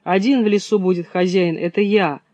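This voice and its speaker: A man voicing a menacing, boastful old wolf in a gruff, self-satisfied manner. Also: high voice, fairly even intonation, normal speed, a little mellow, no room sound, muffled recording, natural voice. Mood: threatening.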